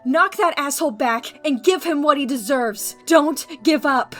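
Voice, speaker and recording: Female mentor actress, audio drama. High voice, encouraging, playful, light music underneath. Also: measured pace. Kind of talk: amateur audio drama acting.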